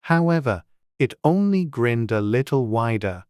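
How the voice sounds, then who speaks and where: A woman narrating a storybook audiobook from a script in a measured, unhurried way. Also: very deep voice, sing-song, a little mellow, clear, resonant tone, no echo, studio quality.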